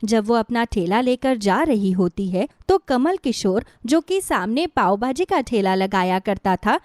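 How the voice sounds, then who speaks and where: A woman's voice: a little high, lively intonation, unhurried, darkish tone, no room sound, clean studio sound. A woman narrator reading a scripted moral story for children.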